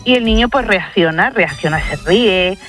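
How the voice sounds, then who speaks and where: A woman's voice: medium pitch, animated, moderate pace, a bit bright. A woman with an Extremaduran accent, dropping consonants, explaining things casually as a radio caller. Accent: Extremaduran Spanish. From Extremadura, Spain. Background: faint music.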